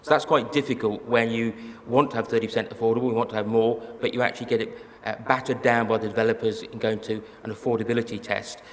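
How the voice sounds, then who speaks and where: A man's voice: mid-range voice, steady tone, fast, hardly any pauses. A man who is a mayor, answering on a panel with many hesitant fillers. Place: conference hall. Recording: reverberant hall, faint background noise.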